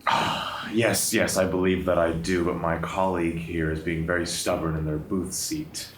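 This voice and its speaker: A man's voice: low-pitched, level tone, moderate pace. A man playing in a tabletop roleplaying game, speaking with a formal, mock-polite manner. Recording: echoey room, distant mic, noise.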